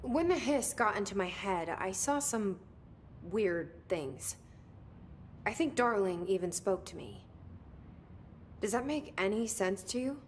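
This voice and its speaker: An actress playing a resolute, candid young woman who runs an agency in a video game, plain-spoken and measured. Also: medium pitch, lively intonation, slight background noise, close to an everyday voice. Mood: probing. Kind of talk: naturalistic video game acting.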